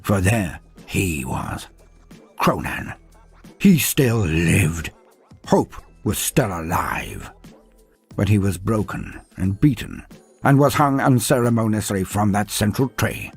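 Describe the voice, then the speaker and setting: Guy telling a fantasy tale, super animated intonation, bit husky, faint music behind. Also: lowish voice, slow pace. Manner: theatrical, florid and whimsical. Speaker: narrator of fantasy lore stories. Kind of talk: dramatic scripted storytelling.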